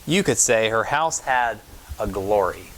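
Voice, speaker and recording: A man's voice: lowish voice, expressive, a little slow, slight background noise, low-quality recording. Man reading a picture book aloud. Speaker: pastor reading a children's story. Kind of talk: storytime read-aloud of a picture book to children.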